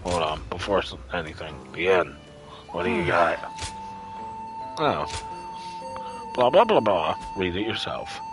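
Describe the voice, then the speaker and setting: A man's voice: low voice, lively intonation, measured pace, pauses often, over music, poor audio. A man doing casual live gameplay commentary as a streamer, offhand and often muttering to himself. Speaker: game streamer.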